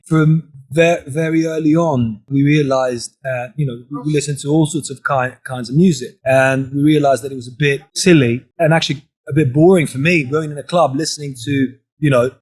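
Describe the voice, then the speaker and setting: A man from Greece, a DJ and label owner, chatting loosely as an interview guest and often saying "you know what I mean". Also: medium pitch. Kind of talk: casual conversational interview.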